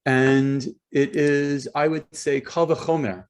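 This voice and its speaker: A man acting as rabbi and host, introducing a speaker over a video call in a halting way, with many ums and repeated words. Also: a little high, steady tone. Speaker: rabbi hosting a talk. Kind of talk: hesitant spoken introduction.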